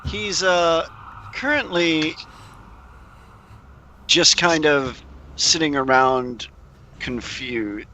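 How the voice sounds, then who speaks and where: Slow, bright-voiced man, halting and hesitant. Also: fairly high pitch, slight background noise. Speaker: tabletop game player. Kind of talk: casual chat during a game.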